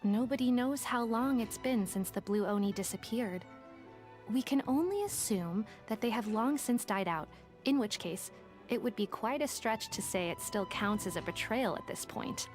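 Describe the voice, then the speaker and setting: A woman's voice: a little high, expressive, normal speed, a little mellow, faint music behind, close to an everyday voice. A woman voicing a shrewd, self-assured detective for hire in a video game, articulate and businesslike. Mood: matter-of-fact. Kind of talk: English video game voice acting, explanatory dialogue.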